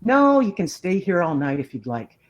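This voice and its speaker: A woman's voice: fairly low pitch, big pitch swings, moderate pace, dull audio, pitched above the performer's own voice. Woman reading as an easygoing older park maintenance man. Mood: easygoing, helpful. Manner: plain, encouraging, instructive.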